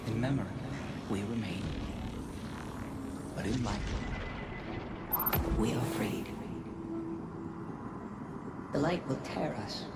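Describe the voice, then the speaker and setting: Slow, solemn ancient spirits. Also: male voice, mid-range voice, animated. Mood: solemn, pleading. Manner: solemn, incantatory, short phrases. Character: mournful ancient spirits pleading for release. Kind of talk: dramatic video game cutscene acting. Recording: loud ambient noise behind voice.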